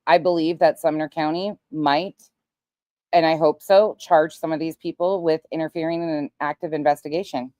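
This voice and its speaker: A woman's voice: low-pitched, steady tone, moderate pace, dark tone, no room sound. A woman commentating on played clips on an online talk show, casual, opinionated and emphatic.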